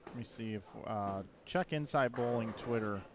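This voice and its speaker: An easygoing man hosts a casual bowling stream in an even, mid-range voice, agreeable and matter-of-fact. Steady noise from the bowling alley runs under his words. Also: medium pitch, moderate pace. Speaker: stream commentator. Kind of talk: casual commentary chat.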